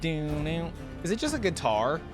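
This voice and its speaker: A man's voice: a little high, big pitch swings, slow pace, music in the background. A man giving casual reaction commentary as a host, conversational and thinking aloud.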